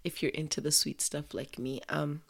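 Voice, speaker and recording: A woman hosting a casual solo podcast, chatting in a rambling, conversational way. She is hoarse from a sore throat, and English is not her first language. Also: low-pitched, little pitch movement, moderate pace. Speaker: podcast host.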